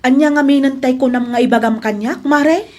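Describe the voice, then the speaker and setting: A woman's voice: high voice, a little fast, a little mellow. A Filipino-accented woman playing a sensible, scolding friend of a housemaid in a comedy drama. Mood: disapproving, incredulous. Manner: scolding, incredulous. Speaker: actor.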